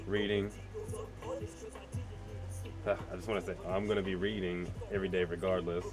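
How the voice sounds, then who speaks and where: A man's voice: deep voice, level tone, fast. A man talking casually in a rambling vlog, profane and slangy, thinking aloud and poking fun at himself. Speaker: vlogger, amateur music producer. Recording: loud music behind the voice. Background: music.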